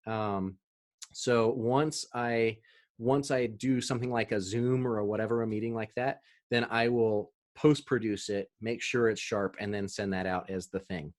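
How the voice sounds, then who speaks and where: A man's voice: lowish voice, steady tone, normal speed. A man presenting a marketing webinar over a video call, chatty, with fillers and easy laughs.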